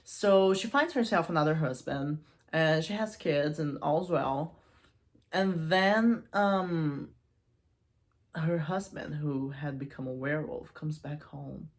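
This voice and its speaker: A woman casually retelling and analysing a story in an informal, animated storytelling manner. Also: low voice, takes it slowly. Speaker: video essayist and book reviewer.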